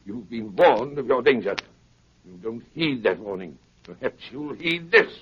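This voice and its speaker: Menacing, swooping criminal mastermind. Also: male voice, mid-range voice, lively intonation, unhurried, slightly rough. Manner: formal, threatening. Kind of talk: 1930s radio acting. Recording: muffled, crackly 1930s radio recording.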